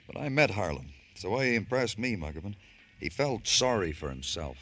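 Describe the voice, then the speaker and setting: A world-weary detective with a very low voice, in an old radio crime drama. He questions in short, dry phrases, over a hissy vintage recording. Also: big pitch swings, brisk, a bit bright. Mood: musing, weary. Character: terse, world-weary male police detective.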